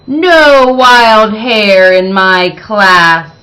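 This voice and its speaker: A woman's voice: medium pitch, fairly even intonation, very slow, pushed and bright, poor audio. A woman voicing a stern, rule-bound female first-grade teacher in a storybook, with an emphatic, scolding manner.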